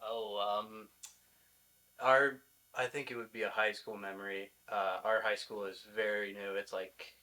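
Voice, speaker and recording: Young American male college athlete, podcast guest. Monotone, forward bright tone, informal and halting. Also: on the low side, rough recording. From Iowa, United States. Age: young adult. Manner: informal, hesitant, peppered with "like". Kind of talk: casual interview answers.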